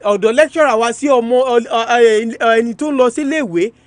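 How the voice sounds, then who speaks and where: A man's voice: extremely high pitch, fast, no room sound. A man with a Nigerian Yoruba accent presenting an educational radio talk in an emphatic, repetitive, teacherly manner. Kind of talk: educational radio talk, switching between two languages. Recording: music bed under the voice. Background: music.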